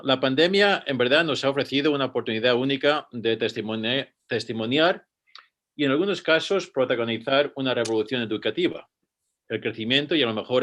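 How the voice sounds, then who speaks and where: A man from Spain presents as a school director on a panel, at a middle pitch. He reads his prepared remarks in a measured way and stumbles now and then to restart a word. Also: fairly bright tone. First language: Spanish, with rusty fluency. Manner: measured, read-out delivery with occasional restarts.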